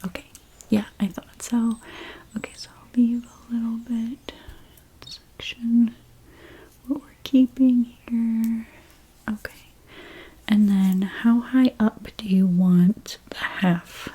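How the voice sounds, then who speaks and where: A woman whispering breathily in an ASMR role play as a hairstylist, gently and unhurriedly narrating each step. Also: fairly high pitch, fairly even intonation, takes it slowly, slightly rough, rough recording.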